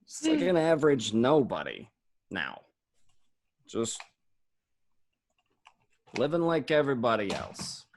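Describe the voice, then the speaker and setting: An amateur actor playing a bitter, profane gangster stuck as an average nobody in a radio drama. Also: male voice, mid-range voice, huge pitch swings, takes it slowly, creaky, long pauses, exaggerated voice. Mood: bitter, resigned.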